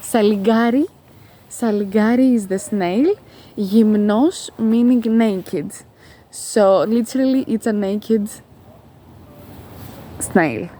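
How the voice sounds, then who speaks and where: Woman, Greek accent, soft mellow tone, unhurried, motivational coach vlogging, faint outdoor noise. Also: medium pitch. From Greece. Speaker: spiritual life coach. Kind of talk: casual motivational vlog talk. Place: outdoors, walking in nature.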